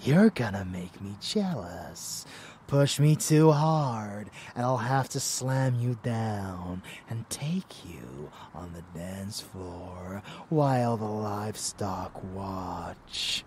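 A man playing a rebellious, murderous vampire lover in an intimate ASMR roleplay, teasing and menacing, breathy and often dropping into a whisper. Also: on the low side, animated, takes it slowly, darkish tone, a little hiss or hum. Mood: teasing, possessive.

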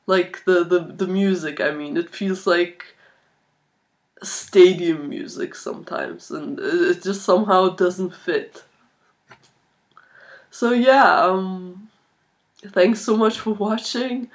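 A woman in her twenties talks casually into a laptop microphone at home. Her voice sits a little low for a woman. She speaks very slowly, halting often as she thinks through what to say. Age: 20s. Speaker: video blogger, art student. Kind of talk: casual chat to camera. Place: small furnished room indoors. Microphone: laptop built-in microphone at arm's length.

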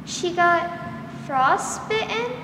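A woman's voice: very high voice, lively intonation, extremely slow, full character voice. A young woman acting an innocent, curious little girl in a 1940s-style radio drama. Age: young adult. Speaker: student actor. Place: school theatre stage. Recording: echoey hall from far off, noisy.

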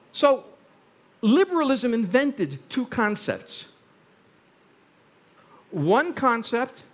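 An older Hungarian-born man giving a wry, deliberate talk on a stage in a large tent before an audience. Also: very high voice, a bit bright. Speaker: speaker.

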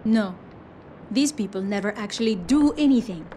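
A woman's voice: mid-range voice, expressive, normal speed, warm tone, slight background noise, close to an everyday voice. An actress playing a guarded, sardonic young village woman in a video game, dry and dismissive. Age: young adult. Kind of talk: video game cutscene acting.

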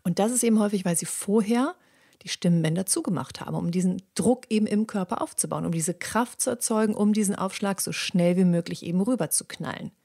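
A woman hosting a podcast, explaining how the voice works in a lively, chatty monologue with many fillers and repeats. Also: medium pitch, vocal fry. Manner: lively, acts out little dialogues in quick voices; frequent fillers and repeated words. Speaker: podcast host and voice coach.

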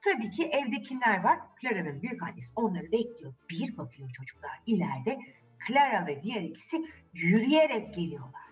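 A woman storyteller narrating a fairy tale for children in a theatrical, expressive way, addressing the young listeners directly. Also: mid-range voice, fairly quick, recorded from a distance, muffled recording, soft background music.

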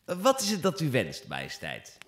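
A man's voice: on the high side, wildly swooping pitch, normal speed, fairly bright tone, breathy edge, dry recording, heavily acted. A man playing a deferential, patient royal lackey in a 1950s-style radio play, formal and theatrical. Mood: obedient. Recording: faint background noise.

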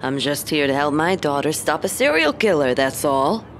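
A man's voice: fairly high pitch, sing-song, normal speed, fairly bright tone. An actor playing a sardonic, protective wolf-kin father in an audio drama, with street noise behind him. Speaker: father helping his daughter.

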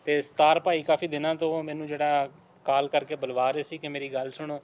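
A middle-aged man with a Punjabi accent speaks in a slightly high voice. He chats casually on location outdoors, with some background noise around him. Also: little pitch movement, moderate pace, a little mellow, lo-fi sound. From Pakistan. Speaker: charity worker interviewing locals. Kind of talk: casual on-site conversation. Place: outdoors at an unfinished brick building site.

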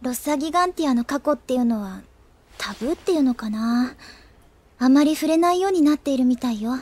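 High-voiced, gossipy schoolgirl. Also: lively intonation, fairly quick, a little mellow. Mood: confiding. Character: cheerful, gossipy, studious teenage schoolgirl friend. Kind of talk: Japanese anime audio drama acting.

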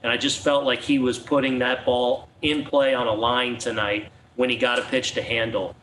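A middle-aged male team manager talks through his answer, pausing on ums and restarting thoughts. Faint room ambience sits behind him. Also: medium pitch, little pitch movement, fairly bright tone, a little hiss or hum, low-quality recording. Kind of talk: press conference answers. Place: press conference room.

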